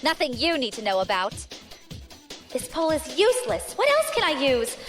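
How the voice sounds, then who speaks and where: A woman's voice: high voice, wildly swooping pitch, moderate pace. A woman playing a brash female space pirate captain, defiant and cocky. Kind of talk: audio drama acting. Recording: music score underneath.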